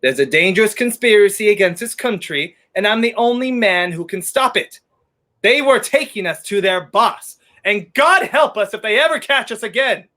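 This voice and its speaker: Man playing a high-pitched, animated sardonic fugitive. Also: moderate pace. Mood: earnest, urgent. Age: young adult. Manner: sardonic, commanding. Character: brash wrongly accused man on the run, sardonic, urgent. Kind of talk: 1930s radio play acting.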